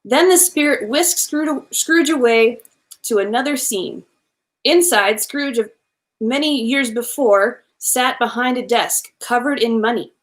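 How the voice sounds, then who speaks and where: A woman with a fairly high voice reads a storybook aloud for children. Her delivery is expressive and animated, in the playful manner of a bedtime storyteller. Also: a little slow, rough recording. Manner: animated, with playful asides to listeners.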